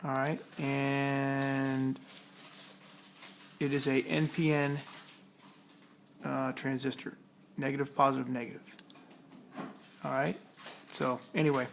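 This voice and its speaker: A man's voice: medium pitch, flat delivery, slow, vocal fry, pauses often, a little hiss or hum. A man giving a casual hands-on tutorial, talking plainly step by step as he works at a repair task. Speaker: electronics hobbyist demonstrating a repair.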